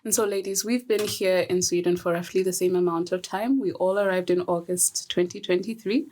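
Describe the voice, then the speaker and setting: A woman's voice: on the low side, fairly even intonation, brisk, a little mellow, a little hiss or hum. Zambian-born woman hosting a podcast. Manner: friendly, laughs often. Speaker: podcast host. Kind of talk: casual podcast conversation.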